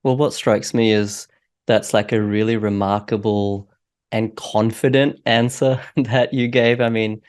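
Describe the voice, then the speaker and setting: A man's voice: on the low side, flat delivery, measured pace, a little mellow, smooth. A man hosting a casual interview, talking with a friendly, slightly hesitant manner. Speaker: podcast host.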